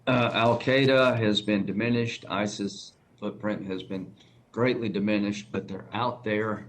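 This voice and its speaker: A man's voice: on the low side, fairly even intonation, measured pace, slight background noise. A man with a Southern accent, a senator pressing a nominee with folksy, direct questions at a hearing.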